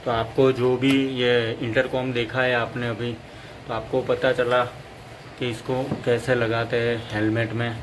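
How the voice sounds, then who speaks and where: A man talks through a gadget demo in a flat, even tone, rambling from point to point. His voice is mid-pitched and sits over faint background ambience. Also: a little slow, noisy background. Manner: rambling, repeats "plus" between points. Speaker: motorcycle vlogger. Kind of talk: casual product demo.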